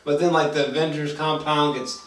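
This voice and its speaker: A man chatting casually about a movie in an excitable, halting, profanity-laced way, repeating himself as he goes. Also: fairly high pitch. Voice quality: slangy, profane speech. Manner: excitable, halting, repetitive storytelling. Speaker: movie reviewer. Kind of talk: casual unscripted movie chat. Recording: roomy echo, distant mic.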